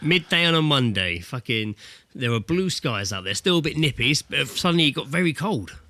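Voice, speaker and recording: A man's voice: mid-range voice, sing-song, brisk, sharp tone, no echo. A British man co-hosting a podcast over a call, chatting casually with a jokey, sweary manner into a close microphone. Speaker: podcast co-host. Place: indoors, recording remotely over Skype.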